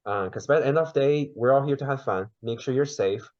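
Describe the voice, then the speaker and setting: A man from China with a Mandarin Chinese accent, whose first language is Mandarin, chatting lively as a podcast guest and searching for words. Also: mid-range voice, a little fast, muffled recording. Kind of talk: casual interview chat.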